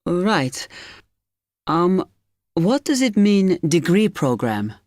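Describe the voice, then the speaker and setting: A man's voice: sits high, very animated, slow, darkish tone, no echo, studio quality, exaggerated voice. An actor playing a young male postgraduate student whose first language is German, asking hesitant, polite questions in a scripted exam dialogue. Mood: unsure. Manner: hesitant, polite, many ums. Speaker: new international postgraduate student.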